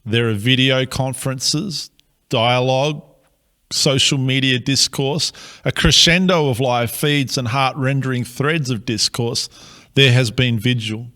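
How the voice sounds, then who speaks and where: A man with an Australian accent, a pastor giving a slow, earnest, measured address to his city. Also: mid-range voice, steady tone, bright, forceful tone.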